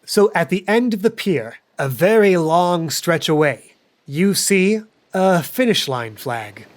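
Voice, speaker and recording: A man's voice: sits high, animated, takes it slowly. A man narrating an improvised tabletop game as game master, casual and playful in manner.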